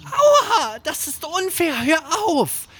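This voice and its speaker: A man's voice: extremely high pitch, huge pitch swings, takes it slowly, pushed and bright, voice pushed up, exaggerated voice. A man voicing an indignant, sporty schoolboy with emphatic delivery in a children's bedtime audio story. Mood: hurt, outraged. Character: Erik, indignant schoolboy football player, sporty and fair.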